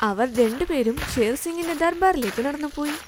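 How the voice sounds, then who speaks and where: A woman's voice: high-pitched, expressive, a little fast, background noise. A woman narrating a scripted children's fairy tale as a storyteller. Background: loud music.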